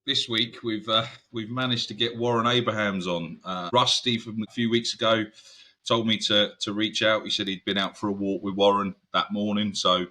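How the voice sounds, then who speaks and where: A man's voice: lowish voice, level tone, moderate pace, bright, forceful tone. A man hosting a rugby podcast in an informal, chatty way with many fillers. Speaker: podcast host. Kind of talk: podcast interview.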